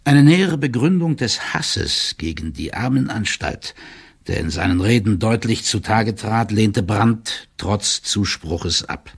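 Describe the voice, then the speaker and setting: A man playing an older, loyal court clerk who delivers a confidential report in a formal, measured and officious manner, as in an audiobook drama. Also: on the low side, lively intonation, gravelly, slightly rough, exaggerated voice. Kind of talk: dramatised first-person reading of a formal written report.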